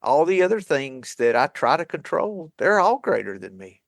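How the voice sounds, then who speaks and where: A man co-hosting a recovery podcast, calm and wry, questioning himself aloud. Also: on the high side, moderate pace, vocal fry, no room sound, clean studio sound. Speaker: podcast co-host. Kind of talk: reflective recovery group talk.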